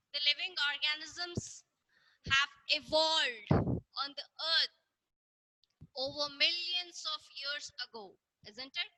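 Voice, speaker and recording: A woman's voice: sits high, sharp tone, dry recording, low-quality recording. A woman teacher with an Indian English accent giving an online science lesson to schoolchildren, often checking in with "isn't it?". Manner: frequent "isn't it?" tag questions, talks to children directly. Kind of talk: online class lecture.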